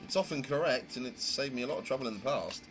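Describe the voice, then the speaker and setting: A man's voice: mid-range voice, animated, fast talker, voice pushed up, close to an everyday voice. A man voicing a naive, chatty, prim young newcomer who narrates a visual novel in a wordy, rambling way. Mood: disapproving, wary. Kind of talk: amateur visual novel voice acting, first-person narration. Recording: music under the voice. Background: music.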